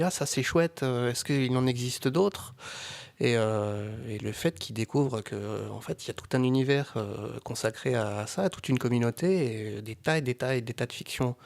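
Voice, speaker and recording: Halting man in casual podcast discussion. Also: mid-range voice. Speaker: guest, amateur audio fiction creator.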